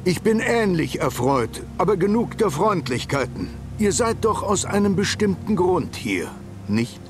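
An actor playing a formal, ceremonious older count in a video game cutscene. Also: male voice, sits high, very animated, measured pace, music in the background. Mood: formal, reserved. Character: stately, formal older count, guardian of a relic.

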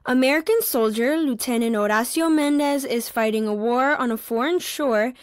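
A woman's voice: fairly high pitch, normal speed, no room sound, clean studio sound, natural voice. A woman playing an earnest, anxious, studious schoolgirl in a naturalistic youth audio drama. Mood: skeptical.

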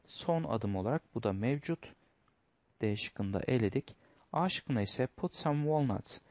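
A male teacher works through test answers in a steady, methodical way. His voice sits at a medium pitch. The recording is dry and close, with no room sound. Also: dry recording. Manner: step-by-step, methodical, reads English aloud then translates. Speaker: English teacher.

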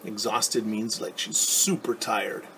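A man in his forties reading a storybook aloud as a teacher, pausing to explain words and ask questions. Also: fairly low pitch, steady tone, a bit bright, a little hiss or hum, low-quality recording. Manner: explains words slowly, asks rhetorical questions. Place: small room at home.

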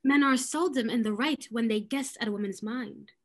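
A woman acts a defiant young lady of period comedy. Her voice sits fairly high, and she is arch and quick with her wit. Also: natural voice. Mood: arch, defensive. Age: young adult. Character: witty, defiant young lady resisting an arranged marriage. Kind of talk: period stage comedy read aloud. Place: indoors, on a video call.

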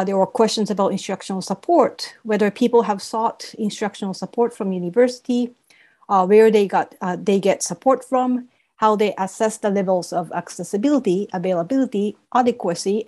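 A woman's voice: medium pitch, moderate pace, darkish tone. A woman from East Asia, a native Japanese speaker with a Japanese accent, giving a scripted academic presentation as a professor. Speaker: university professor presenting research. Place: video call.

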